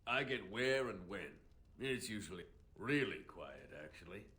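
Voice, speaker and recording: A man's voice: on the low side, big pitch swings, a little slow, bright, forceful tone, full character voice. An actor playing a wry, casual male elf, an outlaw mage, in a fantasy video game. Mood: reassuring. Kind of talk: video game cutscene acting.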